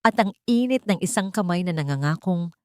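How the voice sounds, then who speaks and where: Female storyteller, lowish voice, dry sound, measured dramatic delivery of scripted romance narration. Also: animated, darkish tone, clean tone, no room sound.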